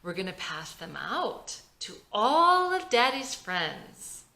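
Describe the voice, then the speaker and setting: A woman's voice: medium pitch, very animated, takes it slowly, creaky, sharp tone, reverberant, voice pushed up, exaggerated voice. A woman playing an impatient young mother with forced cheer in a family drama script. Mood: firm, coaxing.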